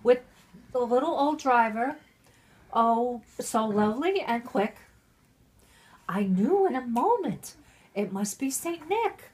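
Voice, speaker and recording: A woman's voice: fairly high pitch, expressive, slow, pauses often, a little mellow. A middle-aged woman, very drunk, reading a Christmas storybook poem aloud, stumbling over words and breaking off into irreverent asides. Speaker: mother reading a Christmas poem to her family.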